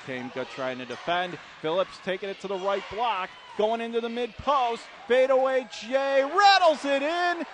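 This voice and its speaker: A man's voice: very high voice, lively intonation, normal speed, few pauses. A man doing live radio play-by-play of a basketball game as the announcer, describing the action in short clipped phrases. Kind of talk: live radio sports commentary. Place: indoor basketball arena. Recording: noisy live gym, bouncing ball behind. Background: loud game ambience, basketball bounces.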